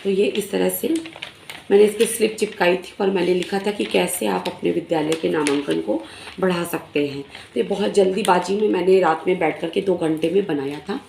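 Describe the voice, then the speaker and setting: Hoarse middle-aged teacher lady, lowish gravelly voice, lots of little pauses, echoey room on a phone. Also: fairly even intonation, a little mellow, slight background noise. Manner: earnest, frequent filler pauses. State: hoarse, recovering sore throat. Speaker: primary school teacher. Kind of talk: casual explanatory talk to fellow teachers. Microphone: phone camera in selfie mode.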